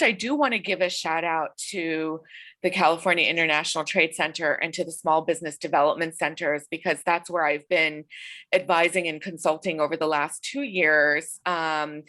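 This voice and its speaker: A woman's voice: deep voice, fairly bright tone. A woman moderating an online panel over a video call, talking with many fillers and restarts. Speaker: webinar moderator.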